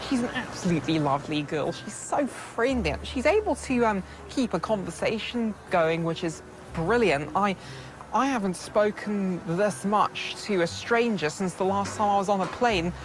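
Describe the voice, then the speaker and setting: A man's voice: sits high, lively intonation, moderate pace, background noise. A young man on a date outdoors by a river, talking in a nervous, wordy, self-deprecating way. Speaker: dating show participant. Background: music.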